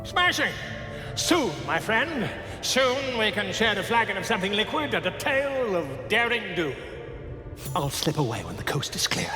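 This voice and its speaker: A man's voice: high-pitched, sing-song, normal speed, sharp tone, exaggerated voice. A man playing an effusive, grandiloquent adventurer in a video game, theatrical and florid. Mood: effusive, delighted. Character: flamboyant, grandiloquent male bard-like adventurer, effusive. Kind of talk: video game character acting. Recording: echoey reverberant hall, music behind.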